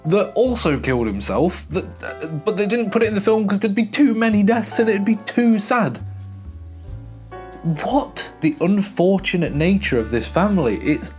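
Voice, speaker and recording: Expressive man reviewing a film. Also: sits high, normal speed, soft background music. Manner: emphatic, expressive, with frequent restarts. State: recovering from a sore throat. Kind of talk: casual film review monologue.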